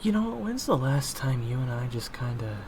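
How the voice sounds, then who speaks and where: A man's voice: medium pitch, very animated, normal speed, noisy background. A young man performing an audio roleplay in a casual, wry manner.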